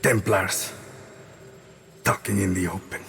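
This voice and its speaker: Game narrator role: brooding exiled male assassin, measured and grave, low pitch, heavy fry. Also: fairly even intonation, a bit bright, a little hiss or hum, exaggerated voice. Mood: solemn, reflective. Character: brooding exiled assassin recounting his mission, solemn and resolute. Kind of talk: scripted dramatic narration.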